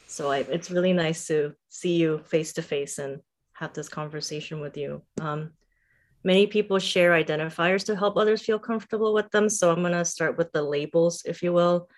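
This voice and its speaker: A middle-aged woman educator speaks as a podcast guest. Her voice sits fairly low, and she explains herself in a thoughtful, measured, conversational way. She is from Singapore and Thailand. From Singapore and Thailand, born in South Korea. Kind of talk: conversational interview.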